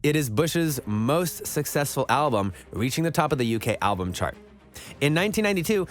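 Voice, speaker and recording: A man reading scripted narration for an online countdown list video. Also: on the high side.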